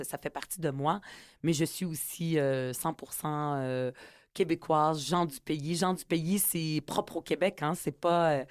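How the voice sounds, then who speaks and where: A woman's voice: low voice, darkish tone, dry recording, clean studio sound. A woman in her forties, raised in Quebec after arriving from the Middle East at ten, with a Quebec French accent and Arabic as her first language, talking earnestly about belonging as a guest and hesitating often. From Middle East, raised in Quebec from age ten. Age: 40s. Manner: earnest and reflective, many hesitations. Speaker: politician and author guest. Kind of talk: conversational interview.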